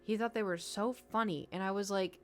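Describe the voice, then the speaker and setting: A woman's voice: medium pitch, steady tone, brisk. A woman from the United States, a gaming streamer rambling in casual chat and answering her chat. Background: background music.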